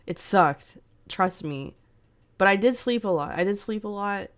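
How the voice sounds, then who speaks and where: A woman's voice: mid-range voice, animated, unhurried. A young woman hosting a casual livestream and greeting her chat by name. She sounds congested and sniffly from a cold and laughs often. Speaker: streamer host.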